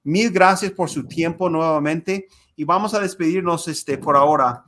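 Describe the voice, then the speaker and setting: A man's voice: a little high, moderate pace, fairly bright tone, a little hiss or hum. A man hosting an interview podcast, talking with many hesitations and fillers. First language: Spanish. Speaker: podcast host. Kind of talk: conversational interview.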